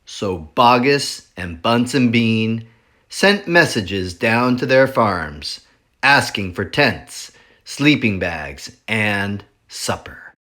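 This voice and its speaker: A man reading a children's chapter book aloud for a school library, slow and expressive. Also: on the low side. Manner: slow, expressive, sing-song storytelling.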